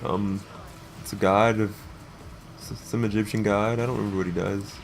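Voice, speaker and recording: A man's voice: lowish voice, steady tone, normal speed, creaky. A man casually commentating while he plays a game, in short, crudely joking remarks. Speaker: let's play player and commentator. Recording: noticeable background noise.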